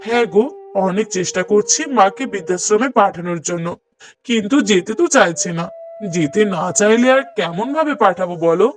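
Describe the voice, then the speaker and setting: A man's voice: sits high, fairly quick, close to an everyday voice. A man voicing a dismissive younger son and henpecked husband in a cartoon story, reproachful and full of excuses. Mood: placating. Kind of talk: cartoon moral story voice acting.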